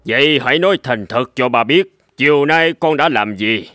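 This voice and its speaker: A man playing a stern but fair middle-aged father in a cartoon, speaking in a measured, earnest, admonishing way. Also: medium pitch, animated, sharp tone, dry recording, clean studio sound. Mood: stern, disappointed. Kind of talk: animated moral tale dubbing. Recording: music behind the voice.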